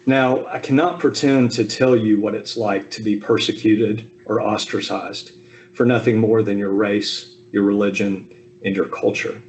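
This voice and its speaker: A man in his fifties from the American South, giving a relaxed personal talk over a video call with frequent ums and asides. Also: fairly low pitch, a little slow, a little hiss or hum. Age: 50s. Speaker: speaker at a Zoom talk. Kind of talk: personal family history talk.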